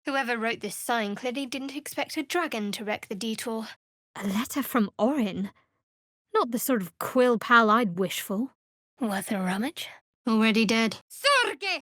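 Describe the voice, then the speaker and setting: An actress voicing a guarded, devout dark cleric woman in a video game, with a dry, sardonic, measured delivery. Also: on the high side, lively intonation, no echo. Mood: dry, wry, then intense, incanting. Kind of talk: video game character voice acting.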